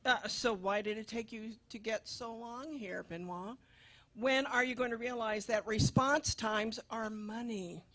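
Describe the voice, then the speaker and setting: A woman's voice: on the high side, unhurried, a bit bright. A woman playing a sarcastic, exasperated landlady in a comic radio play, needling and dry. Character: nagging, sarcastic female landlady, exasperated and money-minded.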